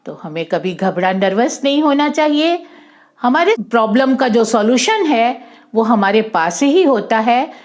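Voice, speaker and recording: A woman's voice: on the high side, expressive, a little slow. A woman telling a moral story to children informally, in an instructive way, repeating points and mixing in English words. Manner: didactic, repeats and rephrases, mixes in English words.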